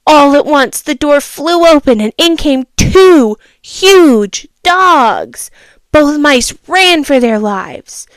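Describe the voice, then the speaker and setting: A woman reading a children's storybook aloud, expressive and teacherly, with asides and questions for the listener. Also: high voice, slow pace, no room sound.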